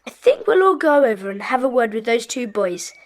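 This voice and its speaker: A woman playing a decisive, bossy schoolboy who leads child detectives in a children's audio drama, brisk and commanding. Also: on the high side, very animated. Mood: thoughtful.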